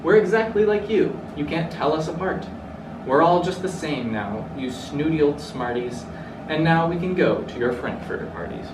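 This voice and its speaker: A man's voice: on the high side, expressive, normal speed, close to an everyday voice. A man voicing boastful, snooty beach creatures in a children's storybook, speaking haughtily in rhyme. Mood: gloating. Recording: roomy, distant mic, clearly noisy.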